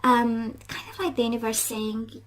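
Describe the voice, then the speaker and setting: A woman giving a casual tarot love reading straight to the viewer, halting and reflective, repeating phrases for emphasis. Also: a little high, level tone, slight background noise. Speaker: tarot reader.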